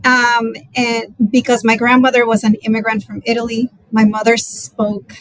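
A woman from the Republic, a Spanish speaker, speaking deliberately and searching for words as an interview guest. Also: on the high side, monotone, no room sound, low-quality recording. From Dominican Republic. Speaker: clinical associate professor, podcast guest. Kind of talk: reflective conversational interview answer.

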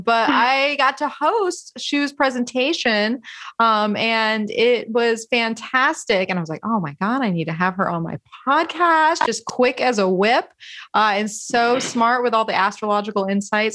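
A woman's voice: fairly high pitch, a bit bright, dry recording. A woman from California hosting a podcast interview, upbeat and chatty with some fillers. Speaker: podcast host.

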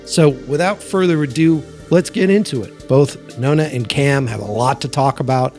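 A man's voice: medium pitch, normal speed, darkish tone, faint music behind. A man from the US East Coast hosting a podcast, chatty and joking, swearing casually. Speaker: podcast host. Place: Los Angeles, remote call.

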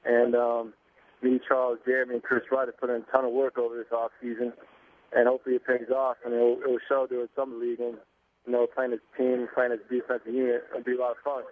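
A man's voice: medium pitch, fast talker. Young man calling in over a tinny phone line. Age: young adult. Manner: relaxed, laid-back, many fillers. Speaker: basketball player calling in. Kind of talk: phone-in interview.

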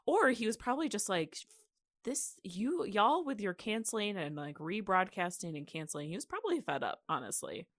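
A woman's voice: mid-range voice, big pitch swings, moderate pace, fairly bright tone, no room sound, studio quality. A young woman hosting a podcast in a casual, rambling way, peppering her talk with "like". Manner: rambling, heavy use of "like". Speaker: podcast host.

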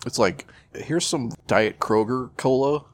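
A man's voice: mid-range voice, unhurried. A man co-hosting a podcast, talking casually and slangily about pop culture with some swearing. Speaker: podcast co-host.

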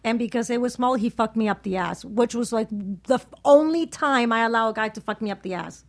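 A woman in a bawdy, casual group chat, telling stories in a candid, animated way. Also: fairly high pitch, a little fast. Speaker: radio co-host.

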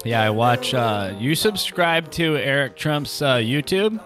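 Low-key joking man in his forties. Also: expressive, unhurried, fairly bright tone, soft background music. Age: early 40s. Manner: wry, teasing, joking. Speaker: co-host of a comedy show. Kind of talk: casual comic banter.

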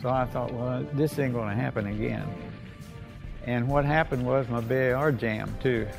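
Elderly American male veteran, oral-history storyteller, plain-spoken and folksy, with a gravelly edge over a music bed. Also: mid-range voice, steady tone, normal speed. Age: older. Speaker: World War II veteran recounting memories. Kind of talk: unscripted oral-history reminiscence.

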